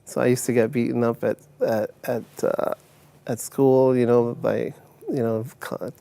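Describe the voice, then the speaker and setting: A middle-aged man born in Uganda, recounting his life story as an interview guest, with halting speech full of fillers and restarts. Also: mid-range voice, level tone, vocal fry, mellow. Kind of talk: personal testimony interview.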